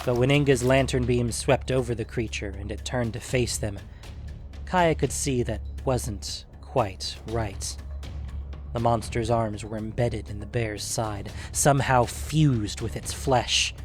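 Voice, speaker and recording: A man narrating a scripted fantasy story in a measured, suspenseful, dramatic audiobook manner. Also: mid-range voice, faint music behind.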